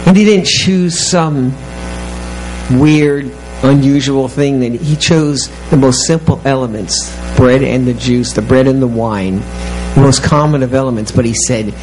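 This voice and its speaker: A pastor leading communion, speaking softly through a face mask in a gentle, plain way. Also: male voice, medium pitch, measured pace, background noise. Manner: soft-spoken, gentle, talking through a mask. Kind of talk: communion sermon. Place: church sanctuary.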